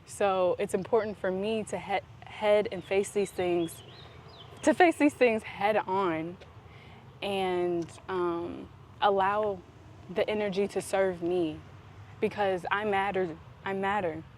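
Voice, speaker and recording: A woman talking in a casual, reflective vlog style, earnest and introspective, with deliberate pauses. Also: medium pitch. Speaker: vlog host. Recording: clear steady background noise.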